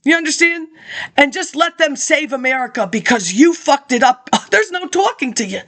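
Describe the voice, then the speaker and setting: High-voiced middle-aged woman ranting. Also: expressive, moderate pace, fairly bright tone. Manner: rambling and indignant, often laughing. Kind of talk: rambling personal monologue.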